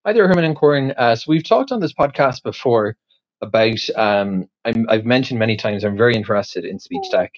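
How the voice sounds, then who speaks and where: A man's voice: mid-range voice, animated, a little fast, dry recording, dull audio. A man with an Irish accent, talking through a question in a loose, rambling way, full of ums and restarts. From Ireland. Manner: rambling, thinking aloud with many fillers and restarts. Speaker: podcast host posing a question. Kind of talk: casual spoken monologue.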